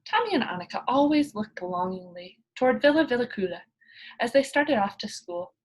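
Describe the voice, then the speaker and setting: A woman narrates a children's storybook in a high, slightly breathy voice. Her reading is expressive, with very animated rises and falls in pitch. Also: fairly quick, rough recording. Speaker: storybook reader.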